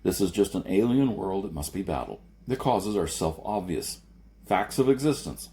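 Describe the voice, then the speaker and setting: A man voicing a big, gruff, stubborn warrior leader in a story, blunt and dismissive. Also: deep voice, lively intonation, moderate pace, warm tone. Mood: angry, dismissive.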